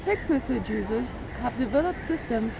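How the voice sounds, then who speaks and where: Woman reading a school narration, kinda high voice, noise hissing underneath. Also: lively intonation, creaky. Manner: measured, formal reading. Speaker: student narrator. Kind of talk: scripted narration.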